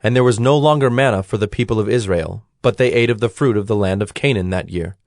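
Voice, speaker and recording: A man narrating scripture from a script in a formal, measured storytelling manner. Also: on the low side, darkish tone, dry recording, clean studio sound.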